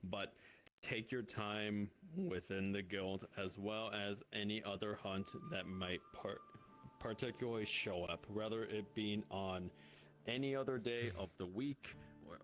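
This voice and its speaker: A low-pitched man improvises online fantasy roleplay as a courteous host. His phrasing is formal but halting, picked out piece by piece. Music plays clearly behind his voice. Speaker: roleplayer playing a guild host. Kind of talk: improvised in-character roleplay in online virtual reality.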